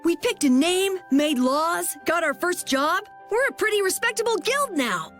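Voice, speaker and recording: An eager young boy from a video game, earnest and very animated. The voice sits high and swings widely in pitch, with music underneath. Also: a little slow, heavily acted. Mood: eager, proud.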